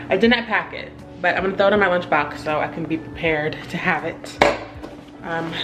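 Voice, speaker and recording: A woman's voice: lowish voice, noisy background. A young woman talking breezily to a handheld camera at home, chatting casually and laughing often. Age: young adult. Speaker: vlogger. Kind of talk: casual vlog chat to camera. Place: home kitchen and bedroom indoors. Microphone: handheld camera at arm's length.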